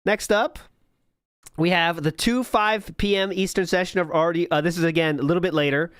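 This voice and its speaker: A man's voice: sits high, fairly even intonation, a little fast, a bit bright, smooth, dry recording, studio quality. A man hosting a podcast, giving sarcastic, mocking commentary on old posts and live chat and laughing often. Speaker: podcast host.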